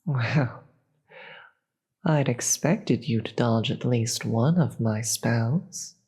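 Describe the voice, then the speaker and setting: A performer plays a regal demon queen in a fantasy audio drama. The voice is husky and breathy, with slow, deliberate, formal speech. The queen sounds sardonic and tired of it all. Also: medium pitch, warm tone, heavily acted. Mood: condescending, amused. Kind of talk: scripted audio roleplay.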